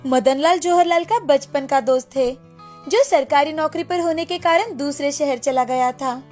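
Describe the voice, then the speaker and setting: A woman's voice: high voice, normal speed, darkish tone. A woman narrating a scripted storybook tale as the storyteller, with music behind her. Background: background music.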